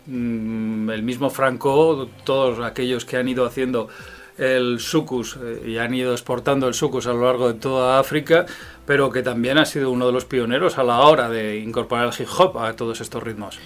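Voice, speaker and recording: A man's voice: medium pitch, steady tone, moderate pace. Conversational male interviewer from radio.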